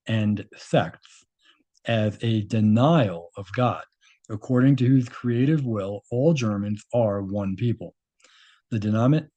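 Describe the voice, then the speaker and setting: A man's voice: mid-range voice, dark tone. A man reading a document aloud and adding informal, opinionated commentary of his own.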